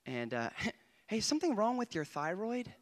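A young man, a guest preacher, giving an excitable testimony in church on a handheld mic, often laughing and swinging between quiet asides and loud bursts. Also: high voice, normal speed. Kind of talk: testimony sermon. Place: church stage before a congregation. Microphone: handheld microphone.

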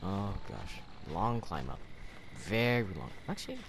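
A man's voice: lowish voice, sing-song, takes it slowly, gravelly. A man giving casual gameplay commentary in short, laid-back muttered remarks, on a noisy recording. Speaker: gamer, video creator. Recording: very noisy, game sounds behind.

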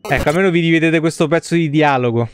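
Man, thirties, Roman Italian accent, clean smooth voice, casual game streamer, rambling and sweary. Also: fairly high pitch, fast, no room sound. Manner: foul-mouthed, rambling, colloquial. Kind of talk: casual live gameplay commentary. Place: small indoor streaming room.

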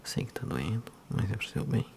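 A man in his thirties with a Brazilian accent, speaking softly and unhurriedly in an ASMR role-play as a physiotherapist addressing the listener. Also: medium pitch, lively intonation, vocal fry, slight background noise. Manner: soft, unhurried, hushed.

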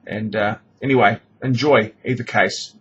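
A man's voice: fairly low pitch, moderate pace, lo-fi sound. Man narrating a tutorial over noise. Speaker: knife maker presenting a tutorial. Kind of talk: casual instructional narration. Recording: steady background noise, homemade recording. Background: noticeable background noise.

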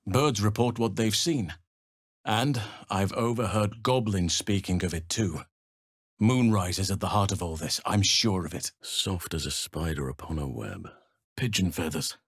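An actor playing a gentle, solemn male elf druid healer in a video game, speaking in earnest, measured, formal phrases. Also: sits low, animated, breathy edge, dry recording, clean studio sound, exaggerated voice. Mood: somber, tender. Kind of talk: video game character voice lines.

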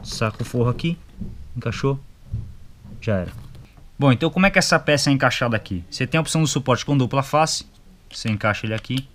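A man's voice: medium pitch, lively intonation, quick pace, pauses often, fairly bright tone, slight background noise. A young adult man with a Brazilian accent, giving a casual, colloquial, step-by-step product demonstration and checking in with short tags. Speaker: product reviewer. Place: motorcycle gear shop, indoors.

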